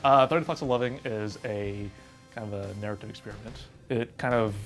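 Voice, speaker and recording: A man's voice: lowish voice, fairly quick, fairly bright tone, soft background music. A man in a casual interview as an indie game developer, with loose, hedging phrasing.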